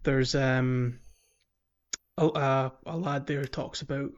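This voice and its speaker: A man's voice: mid-range voice, fairly even intonation, takes it slowly, lo-fi sound. A man hosting a gaming podcast in casual conversation, explaining things in a hesitant, rambling way. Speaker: podcast host.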